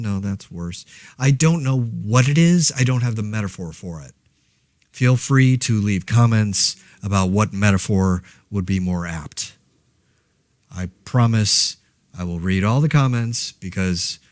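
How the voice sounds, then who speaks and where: A man's voice: lowish voice, no room sound, clean studio sound, lightly acted. A man playing a rambling, wry, laid-off New Yorker who hesitantly podcasts through a zombie outbreak in an audio drama. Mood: exasperated, rambling. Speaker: podcast host. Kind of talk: casual podcast monologue in a fiction audio drama.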